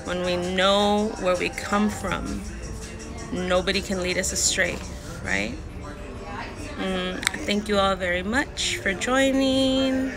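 A woman in her thirties teaching vocabulary in a casual lesson, repeating each word slowly and carefully, recorded on a phone held close outdoors. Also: mid-range voice, steady tone, music in the background. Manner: slow, careful word-by-word repetition. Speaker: language learner sharing lessons. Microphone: phone held close, selfie framing.

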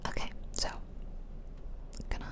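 A woman softly whispering playful, chatty ASMR commentary over a video game, close to the mic. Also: a little slow. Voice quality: soft breathy whisper. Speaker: gaming host. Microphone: close stereo mic, ear-to-ear.

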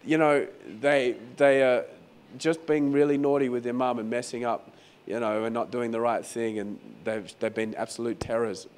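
A man preaching a sermon as pastor in a croaky, hoarse voice, talking conversationally and repeating phrases for emphasis. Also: mid-range voice, steady tone, moderate pace, hardly any pauses, darkish tone. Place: venue hall during a church service.

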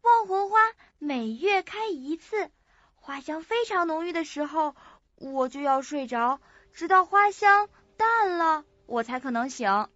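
A woman's voice: high voice, lively intonation, a little slow, fairly bright tone, dry recording. An actress in an audio drama playing a sweet, forgetful young woman with a naive, hesitant way of talking. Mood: innocent, earnest. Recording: muffled lo-fi audio.

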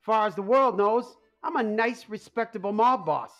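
A man's voice: sits high, lively intonation, moderate pace, a bit bright, muffled recording, faint music behind. A man playing an exasperated, easily riled mob boss with a secret pizzeria in a comic radio play. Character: stressed, hot-tempered male mob boss secretly running a pizzeria.

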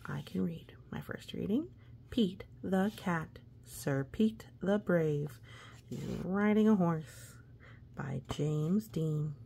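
Woman reading to kids, slightly creaky voice. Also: on the low side, slow pace, dark tone, slight background noise. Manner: playful, exclamatory, talking as if to a young child. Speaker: picture book reader. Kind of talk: children's story read-aloud with chatty asides.

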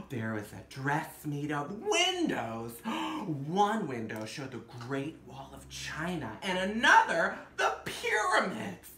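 A man hosting storytime in drag, reading a picture book aloud theatrically with dramatic gasps, drawn-out words and playful asides. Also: high-pitched, bright, forceful tone. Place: indoor room with fabric backdrop. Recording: roomy echo, some background noise.

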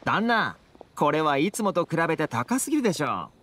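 A man's voice: a little high, sing-song, brisk, sharp tone, heavily acted. A man voicing a shrewd, easygoing haggler in an anime game, casual and persuasive.

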